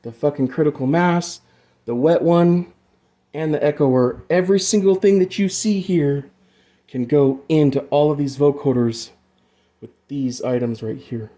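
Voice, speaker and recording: A man's voice: fairly high pitch, measured pace, warm tone. A man giving a casual hands-on tutorial about music gear, rambling and loosely explaining as he goes, with some swearing. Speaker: amateur music gear demonstrator.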